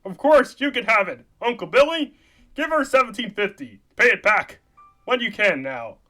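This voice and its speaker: Earnest high-voiced frog puppet hero. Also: male voice, animated, normal speed, pushed and bright, faint music behind. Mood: kindly urgent. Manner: earnest, hesitant, urgent. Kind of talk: comic puppet radio play acting.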